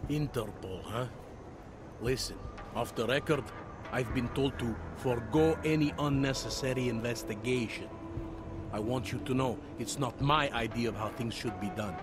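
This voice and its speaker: An actor playing a world-weary veteran city police detective in a game cutscene, wry and half-joking, over loud music. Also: male voice, medium pitch, normal speed, lightly acted. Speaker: game character.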